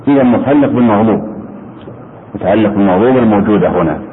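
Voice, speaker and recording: Low-voiced male grammar teacher on a phone-like recording. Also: rapid-fire. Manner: didactic, repeats points and quizzes. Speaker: religious scholar teaching Arabic grammar to students. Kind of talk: interactive classroom lesson, questioning students. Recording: muffled phone-like sound with steady background noise.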